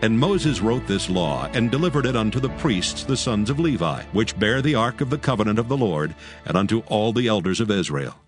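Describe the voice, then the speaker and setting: A man narrating scripture from a script in a formal, measured manner. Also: on the low side, expressive. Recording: background music under the voice.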